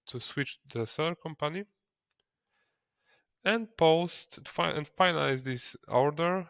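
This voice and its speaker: A non-native English-speaking man narrating a software tutorial step by step, in a hesitant way. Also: mid-range voice, gravelly, clear, resonant tone, no room sound. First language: not English. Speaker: software trainer.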